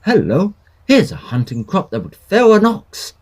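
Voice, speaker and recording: A man's voice: sits high, huge pitch swings, measured pace, full character voice. A man playing a hearty, obliging young sporting friend in a Victorian horror drama, with a breezy, casual manner. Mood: cheerful, willing.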